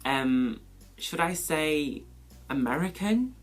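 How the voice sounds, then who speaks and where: A man's voice: expressive, slow. Chatty Mancunian young man, rambling. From England. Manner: chatty, rambling, full of "like" and "you know"; pitch leaps up sharply when exclaiming. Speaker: beauty vlogger. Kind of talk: casual chat answering a question tag.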